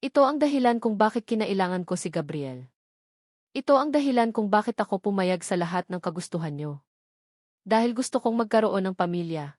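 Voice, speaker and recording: A woman's voice: mid-range voice, expressive, brisk, a little mellow, no room sound, studio quality, natural voice. A woman voicing an indignant, anguished woman in a story, emphatic and confrontational. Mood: anguished, accusing.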